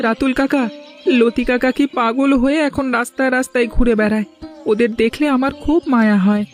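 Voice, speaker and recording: A woman voicing a gentle, sentimental village boy in a cartoon. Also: on the high side, steady tone, a little fast, lightly acted. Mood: wistful. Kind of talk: cartoon dialogue acting. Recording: faint music behind the voice.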